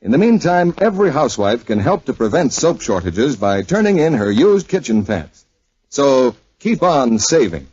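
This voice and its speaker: A man's voice: medium pitch, sing-song, moderate pace, darkish tone, breathy edge, dry recording. A man reading a scripted sponsor announcement as a 1940s radio announcer. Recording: dry, muffled 1940s radio recording.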